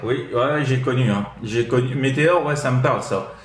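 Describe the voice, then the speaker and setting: A man's voice: mid-range voice, normal speed, reverberant. A man chatting casually as a radio operator, hesitant and repetitive, often tagging his phrases with small filler words.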